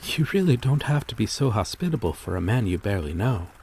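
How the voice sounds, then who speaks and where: A man's voice: lowish voice, very animated, fast talker, darkish tone. Man playing a courteous vampire, noisy ambience. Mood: courteous, grateful. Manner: formal, polite, hesitant, apologetic. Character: courteous, gentle male vampire stranger, kindly and old-fashioned. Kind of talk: intimate ASMR roleplay acting.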